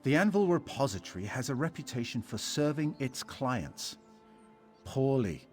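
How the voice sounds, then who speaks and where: A man's voice: medium pitch, very animated, moderate pace, faint music behind, natural voice. A man playing a haughty, aggrieved scholar and artificer in a video game, wordy and indignant. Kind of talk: video game character dialogue.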